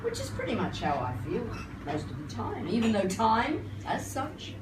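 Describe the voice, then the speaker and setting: An older woman reciting poetry live, with theatrical, wry and deliberate phrasing. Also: a little high, wildly swooping pitch. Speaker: performance poet and singer. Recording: roomy, noisy live recording, distant mic.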